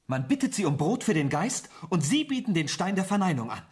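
Earnest, overwrought young idealist. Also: male voice, high voice, very animated, a little fast, darkish tone. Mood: impassioned, pained. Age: young adult. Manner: formal, fervent, literary phrasing. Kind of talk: German radio play acting.

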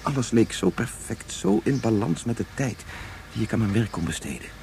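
An actor playing an anguished, regretful scientist of great age in a Dutch science fiction radio play, speaking haltingly and turning back on his own words. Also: medium pitch, animated, vocal fry, darkish tone, a little hiss or hum. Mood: brooding, regretful. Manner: halting, repetitive, ruminative. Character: anguished 177-year-old scientist, brooding, regretful father.

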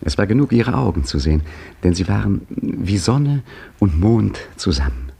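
A gravelly old caretaker. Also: male voice, low voice, big pitch swings, moderate pace, full character voice. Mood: wry. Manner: dry, gently teasing, storytelling. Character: aging Egyptian embassy caretaker, wry and patient. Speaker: narrator and main character. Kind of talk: 1950s radio play acting.

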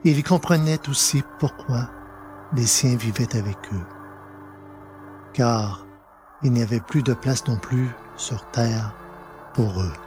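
A man narrating a scripted story in a measured, dramatic manner, with pauses for effect. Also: mid-range voice, a little mellow. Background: music.

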